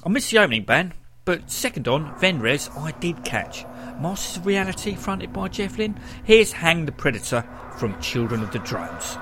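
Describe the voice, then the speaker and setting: Higher-pitched male rock radio presenter. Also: sing-song, moderate pace, noisy background. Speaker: rock radio show host. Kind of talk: music radio presenting with personal anecdotes.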